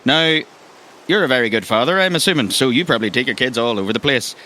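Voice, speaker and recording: A man's voice: medium pitch, expressive, fast, pushed and bright, poor audio. A man with an Irish accent narrating a tabletop roleplaying game as game master, descriptive, with colloquial asides. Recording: music behind the voice.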